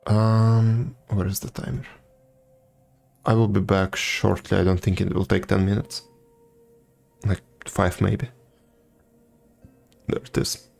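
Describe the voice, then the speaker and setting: A man chatting casually as a live game streamer, musing aloud in a low-key, halting way. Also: flat delivery, gravelly, darkish tone, faint music behind.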